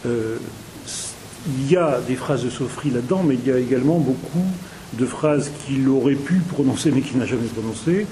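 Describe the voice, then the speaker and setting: Man, playwright, mellow voice, halting, faint hiss. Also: medium pitch, poor audio. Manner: halting, frequent fillers. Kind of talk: discussion of theatre work.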